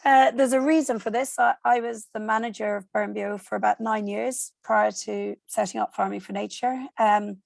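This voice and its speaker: Irish woman, hoarse, gravelly, fairly high voice, dry sound, video call presentation. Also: no room sound. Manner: steady, explanatory, with frequent ums. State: hoarse from a cold. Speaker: guest speaker. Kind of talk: online presentation.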